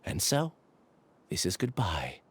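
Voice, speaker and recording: Earnest, melancholy male scholar, expressive. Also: deep voice, slow pace, slight background noise, full character voice. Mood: resigned. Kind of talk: video game voice acting.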